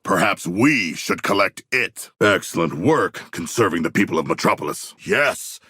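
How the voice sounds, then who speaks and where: An actor voicing a hulking, proud humanoid shark warrior in a video game, with formal, stilted diction, earnest grandiose declarations and a gravelly, husky voice. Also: male voice, deep voice, animated, moderate pace, bright, forceful tone, dry recording, studio quality, full character voice. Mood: earnest, measured. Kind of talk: video game character dialogue.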